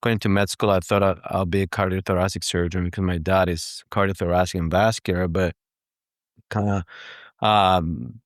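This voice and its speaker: A man from Greece and Cyprus, answering interview questions casually and haltingly as a guest surgeon. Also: deep voice, level tone, dry recording, studio quality.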